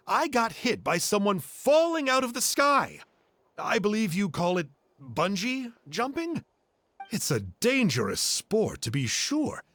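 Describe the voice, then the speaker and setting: A man's voice: high voice, a bit bright, full character voice. A man voicing a boastful, theatrical uncle who tells dramatic stories in a video game. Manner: hammy, dramatic storytelling. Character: boastful, theatrical adult male storyteller uncle.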